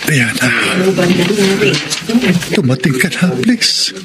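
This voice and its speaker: An actor with a Filipino accent playing a devoted, forgiving young groom in a radio drama, speaking earnestly with dramatic emphasis. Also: male voice, mid-range voice, lively intonation, slow, over a noisy crowd. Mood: anxious pleading. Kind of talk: Tagalog radio drama acting.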